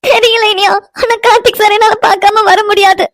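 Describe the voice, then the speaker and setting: A woman's voice: very high-pitched, steady tone, fairly quick. A woman playing a distraught, lovesick young woman in an audio melodrama, crying and whining insistently. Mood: tearful, desperate. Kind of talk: melodramatic audio drama dialogue.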